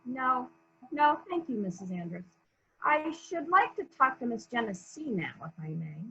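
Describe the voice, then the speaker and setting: A woman's voice: on the high side, big pitch swings, moderate pace, bright, forceful tone, breathy edge, dull audio, heavily acted. A woman playing a polite, probing police inspector in a murder mystery reading, asking formal, clipped questions. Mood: courteous, brisk.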